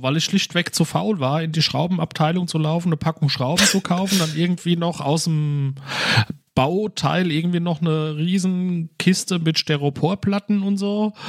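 A man's voice: a little high, dry recording, studio quality. A man chatting casually as a podcast co-host, telling anecdotes in a colloquial, wry way.

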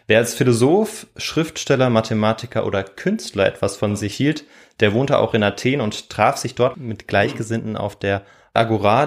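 A man, hosting a history podcast and telling the story in a semi-scripted way. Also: lowish voice. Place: warm indoor room.

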